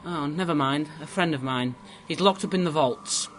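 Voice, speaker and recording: A man's voice: on the high side, normal speed, a bit bright, lightly acted. A young man playing an earnest, anxious sidekick in a radio drama, fretful and urgent in his delivery. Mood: urgent, puzzled. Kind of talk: amateur radio drama acting. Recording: faint background noise.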